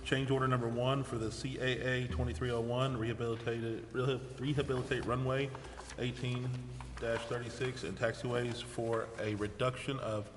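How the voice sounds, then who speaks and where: A man chairs a formal meeting, reading items in a procedural style. His voice is mid-pitched and rings through a long-reverb chamber, with clear noise in the recording. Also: little pitch movement, normal speed, few pauses. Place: indoor council chamber.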